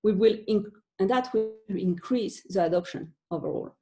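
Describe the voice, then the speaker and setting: A woman with a French accent gives a webinar talk from home. Her delivery is halting, full of fillers and restarts. Her voice is mellow and warm at a middle pitch. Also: faint music behind. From France. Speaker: conference speaker.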